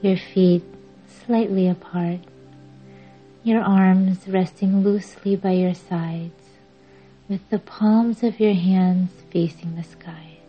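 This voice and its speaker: A woman guiding a yoga nidra meditation in a measured, soothing, instructing way with long pauses. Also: mid-range voice, steady tone, husky. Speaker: meditation podcast host and guide. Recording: dull lo-fi audio under music. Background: music.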